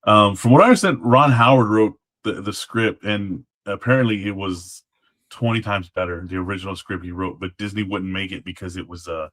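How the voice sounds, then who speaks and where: A man's voice: fairly low pitch, a little fast, fairly bright tone. A man speaking as a guest in a casual interview chat. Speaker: guest, former guard at a historic site.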